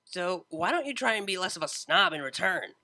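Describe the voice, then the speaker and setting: Play a laid-back, teasing young mare DJ as the story's reader puts her on: bright and a little gravelly, lively up and down, slangy and blunt, a touch back from the mic. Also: mid-range voice, fairly quick, pitched above the performer's own voice. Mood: defensive. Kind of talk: fan fiction reading, character voice.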